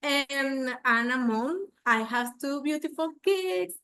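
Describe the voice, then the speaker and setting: A woman's voice: sits high, animated, slow, sharp tone. A woman from Venezuela with a Venezuelan accent, a native Spanish speaker, casually introducing herself and searching for words. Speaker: guest, bakery owner.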